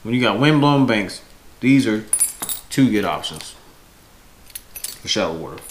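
A man's voice: fairly low pitch, animated, a little slow, reverberant. A man in his thirties giving casual, unscripted fishing-vlog style tips to camera, correcting himself as he goes, indoors at home. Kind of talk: casual instructional product talk to camera. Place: indoors, home room with windows. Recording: noisy home camera audio.

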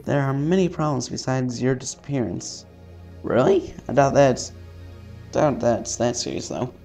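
A man playing a visual novel, reading its text aloud haltingly with casual, sweary commentary. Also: medium pitch, vocal fry, dark tone. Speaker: let's-player. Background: faint game music.

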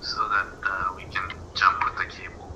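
A man's voice: low voice, monotone, normal speed. A man playing a family multiplayer game, chatting casually and thinking aloud in a hesitant way over voice chat. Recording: muffled lo-fi voice chat, faint noise.

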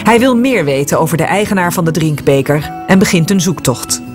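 Low-voiced woman narrating over music. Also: expressive, fairly quick. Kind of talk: scripted documentary narration.

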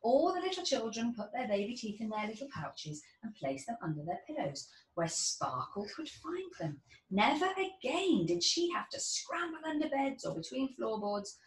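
A woman in her thirties reading a children's storybook aloud in an expressive, deliberate storytelling manner. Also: on the high side. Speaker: storybook reader. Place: small indoor children's playroom. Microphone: camera mic about a metre away. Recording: roomy, distant mic, faint background noise.

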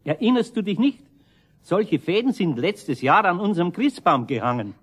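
A man playing a grumbling but good-natured older craftsman with a Bavarian accent and a husky voice in a children's radio play. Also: high-pitched, sing-song, moderate pace, exaggerated voice. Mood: amused, patient. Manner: gruffly indulgent, sighing.

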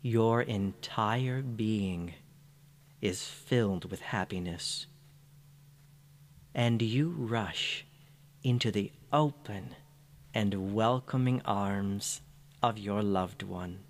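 A man narrating a scripted guided meditation in a soothing, gentle manner. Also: lowish voice. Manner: soothing, unhurried, gentle phrasing.

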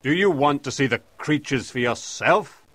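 A man's voice: medium pitch, lively intonation, moderate pace, fairly bright tone, no room sound. A man voicing a bold, domineering professor and explorer in an audiobook, with a pompous, commanding manner. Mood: challenging. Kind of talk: audiobook character voice.

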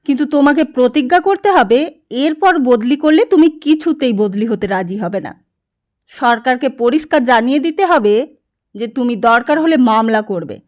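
A woman storyteller voicing a sharp-tongued, nagging wife who complains emphatically and with open disdain. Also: high voice, expressive, moderate pace. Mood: nagging, exasperated.

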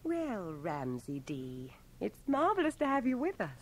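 An actress playing a breezy, teasing wartime nurse in a radio drama, with a playful, lilting manner. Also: female voice, medium pitch, huge pitch swings, measured pace, breathy edge, heavily acted. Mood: breezy, welcoming.

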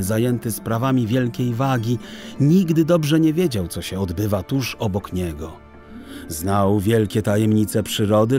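A man narrating a children's story in an expressive, theatrical, deliberate literary manner. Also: mid-range voice. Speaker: audiobook narrator.

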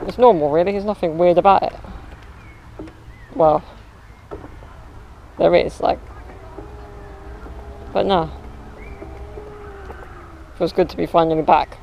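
A young man vlogging outdoors on suburban streets and in a park, chatting casually to a camera mounted low on his wheelchair, with playful boasts. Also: steady tone, takes it slowly, gravelly, pauses often, lo-fi sound, slight background noise. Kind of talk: casual vlog chat to camera.

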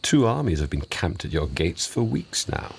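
An actor in a radio drama playing a haughty, urbane lord of an old Japanese court, talking in a dry, deliberate manner. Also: male voice, low voice, sing-song, gravelly, a little hiss or hum, exaggerated voice. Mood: sly, disdainful. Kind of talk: BBC radio drama acting.

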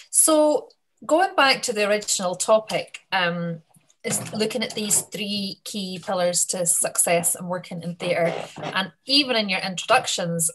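A woman's voice: mid-range voice, fairly bright tone, a little hiss or hum, low-quality recording. Woman moderating an online panel. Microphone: video call.

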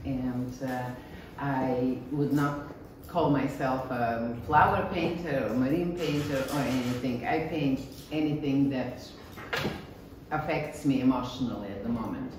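A middle-aged woman with a Bulgarian accent, lecturing on her painting in a low voice and an unhurried delivery. She speaks plainly, now and then stopping to find the right word. The sound comes from far away in an echoey gallery room with steady background noise. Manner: plain, earnest, self-deprecating, searching for words. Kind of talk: informal art lecture. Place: small bright gallery room, indoors. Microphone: far camera, standing mic at a lectern.

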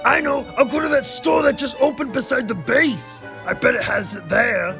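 A man voicing an excitable, earnest cartoon character full of festive enthusiasm. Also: very high voice, normal speed, gravelly. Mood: frantic. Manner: exclamatory, earnest. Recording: music playing behind the voice.

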